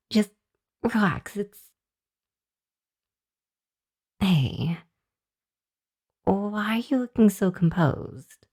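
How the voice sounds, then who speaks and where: A woman's voice: fairly low pitch, darkish tone, slightly rough, exaggerated voice. A woman playing a nervous, apologetic young hellhound girl in an ASMR roleplay, speaking to the listener with a hesitant, stammering delivery. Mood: flustered, then sheepish, puzzled.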